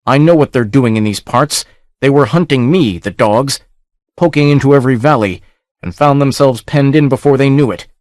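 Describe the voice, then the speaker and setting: A man's voice: medium pitch, normal speed, darkish tone, no echo, studio quality, voice pushed up, close to an everyday voice. A man voicing a blunt, restless barbarian chieftain in a storybook reading. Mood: scornful.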